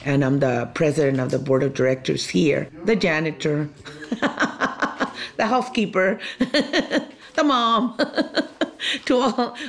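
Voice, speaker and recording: A woman's voice: sits low, takes it slowly, long pauses. A woman, a radio station manager telling stories in an interview with laughter. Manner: laughing, lively storytelling.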